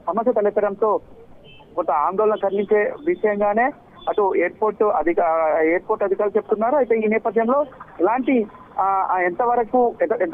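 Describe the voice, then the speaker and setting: Over a thin, phone-like link, a male correspondent reports live. His voice is notably high for a man. He speaks haltingly, repeating himself and hesitating as he improvises. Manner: halting, repetitive, hesitant delivery. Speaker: news correspondent. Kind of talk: unscripted live news report. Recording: muffled, band-limited live link.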